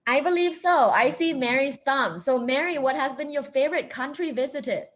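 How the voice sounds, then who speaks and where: A woman's voice: high-pitched, moderate pace. A woman from Thailand facilitating a group video chat as host, affirming and encouraging people as she goes. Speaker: host of an online group activity. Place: online video meeting.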